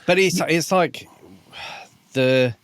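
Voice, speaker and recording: A man's voice: fairly high pitch, slow pace, clear, resonant tone, no room sound. A man co-hosting a podcast, talking through his thoughts on a book in a casual, reflective and slightly hesitant way.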